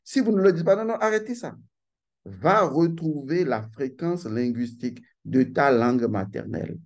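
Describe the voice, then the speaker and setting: A man's voice: a little high, expressive, normal speed. A man giving an insistent, lecturing monologue, stressing and repeating his key points. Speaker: channel host giving a talk.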